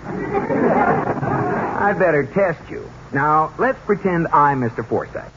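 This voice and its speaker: A fairly high-voiced exasperated American husband in a 1940s radio sitcom. He scolds emphatically, with big swings in pitch. The vintage recording has clear background noise. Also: huge pitch swings, slow pace, a loud crowd, exaggerated voice. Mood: cautioning. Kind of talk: 1940s radio comedy acting. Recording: muffled, noisy old radio recording.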